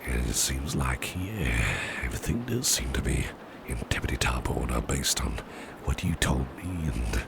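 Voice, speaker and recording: A man playing a doting, protective businessman boss with a sly edge in an ASMR roleplay, gravelly and breathy at times, with genteel, old-fashioned phrasing. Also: very deep voice, animated, normal speed, background noise, heavily acted. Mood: caring, reassuring. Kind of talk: ASMR roleplay, soft personal attention.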